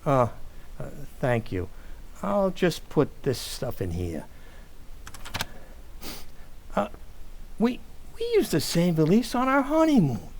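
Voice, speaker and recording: A man's voice: on the high side, big pitch swings, unhurried, vocal fry, darkish tone, a little hiss or hum, heavily acted. An actor playing a bumbling henpecked family man in an old-time radio comedy, hesitant and flustered as he blusters. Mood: hurt, stalling.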